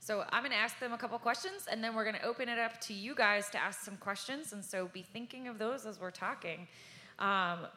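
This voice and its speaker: A woman's voice: medium pitch, brisk, pushed and bright. A woman moderating a panel, asking questions in an upbeat, conversational way. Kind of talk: hosting a panel Q&A.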